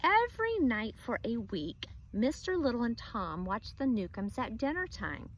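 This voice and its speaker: A woman teacher reading a chapter book aloud to students, pausing to ask them questions. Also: a little high, a little hiss or hum, rough recording. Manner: expressive, asks students questions. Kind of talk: read-aloud lesson for children.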